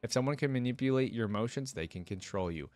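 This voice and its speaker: A man reads the part of a calculating male scientist from a storybook, speaking in measured, persuasive lectures. His voice sits at a middle pitch with a close, dry sound. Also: lively intonation, darkish tone, dry recording, lightly acted. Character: calm, calculating male scientist preaching against fear. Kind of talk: storybook read-aloud.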